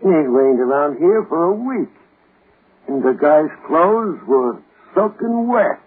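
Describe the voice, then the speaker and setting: An actor playing a brusque, uneasy, slangy barkeep in an old-time radio drama. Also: male voice, fairly high pitch, animated, slow, husky, exaggerated voice. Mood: puzzled. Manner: slangy, stammering when nervous. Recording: muffled old radio recording.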